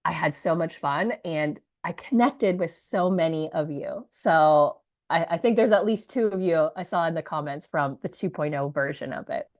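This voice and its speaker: A woman in her thirties, hoarse from a cold, telling an audience the story of her launch in a chatty, energetic way with many asides. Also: low-pitched, moderate pace. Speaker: business coach presenting her launch story. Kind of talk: live presentation to an audience.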